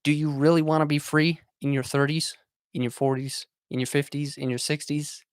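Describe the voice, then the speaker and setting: A man's voice: fairly high pitch, flat delivery, normal speed, no echo, studio quality. A man in his twenties talking earnestly and casually as a podcast host, motivational in tone, with frequent fillers and restarts. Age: 20s. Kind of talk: casual monologue.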